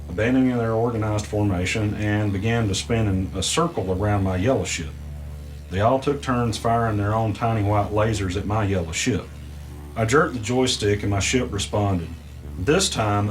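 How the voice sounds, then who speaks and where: A man acting as the weary narrator of a mystery audio drama, recounting an arcade ordeal in a measured, halting way. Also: fairly low pitch, natural voice. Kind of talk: first-person audio drama narration with dialogue.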